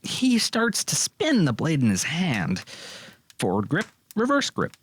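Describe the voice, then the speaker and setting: Male narrator with widely swinging pitch. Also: huge pitch swings, unhurried, fairly bright tone, husky, dry recording. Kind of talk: scripted audio drama narration.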